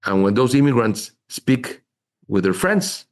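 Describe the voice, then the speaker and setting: A middle-aged man, giving measured, explanatory interview answers with frequent filler phrases. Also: mid-range voice, big pitch swings. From Chile. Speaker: investment officer guest.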